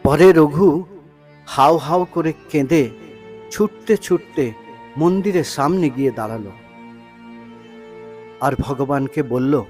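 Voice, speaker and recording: Slow-paced, emotive male storyteller. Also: on the high side, darkish tone, faint music behind. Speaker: storyteller, narrator. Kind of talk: dramatic scripted story narration in Bengali.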